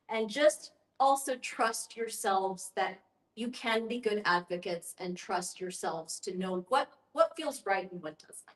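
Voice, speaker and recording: A woman facilitating a group discussion in an explanatory way, with a measured, encouraging manner. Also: medium pitch. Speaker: guest facilitator and end-of-life educator. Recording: echoey room sound.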